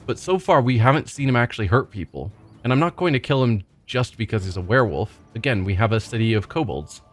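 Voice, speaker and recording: A man's voice: medium pitch, expressive, fast, soft background music. A man hosting a let's play, thinking aloud hesitantly in casual commentary as he plays.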